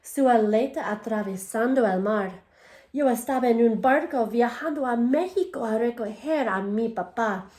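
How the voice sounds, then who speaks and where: A woman's voice: fairly high pitch, normal speed, darkish tone. A woman teacher reading a picture book aloud to young children, first in English and then in Spanish, stopping to ask the class questions. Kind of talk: bilingual read-aloud for children. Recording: echoey room.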